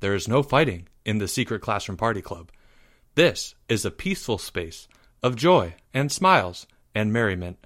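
A man's voice: on the low side, big pitch swings, moderate pace, fairly bright tone. A man voicing an orderly schoolboy club president in a formal, firm, businesslike manner. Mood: firm, officious.

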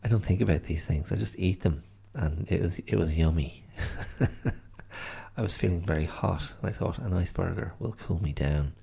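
A man's voice: low voice, normal speed, vocal fry, warm tone, husky. An Irish man hosting his own podcast, chatting casually and rambling with many self-corrections, tired and a little stuffy-nosed from hay fever. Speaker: podcast host. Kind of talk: casual solo podcast chat.

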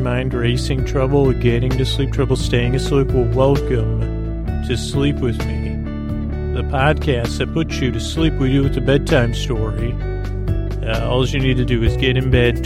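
A man hosting a sleep podcast, rambling gently and hesitantly through silly, goofy asides in a gravelly, creaky voice. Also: medium pitch. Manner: meandering, hesitant, halting asides. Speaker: podcast host. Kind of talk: rambling bedtime monologue to help listeners sleep.

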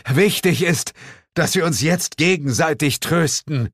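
A man's voice: a little high, a little slow, a bit bright, dry recording, studio quality, voice pushed up. A man voicing a boastful, whiny ghost hunter in a comic audiobook, stammering and melodramatic. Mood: mock solemn. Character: hapless, boastful male ghost hunter, mock-heroic and whiny.

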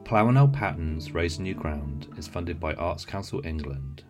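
Low-pitched man introducing a meditation. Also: lively intonation, a little slow, darkish tone, soft background music. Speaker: host introducing an audio series. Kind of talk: scripted introduction to a guided meditation.

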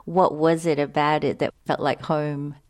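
Woman interviewer from Singapore, partly Australian accent, low pitch, curious questions. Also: little pitch movement, measured pace, a little mellow, no echo, clean studio sound, soft background music. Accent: mixed, partly Australian. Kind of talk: scripted narration and interview questions.

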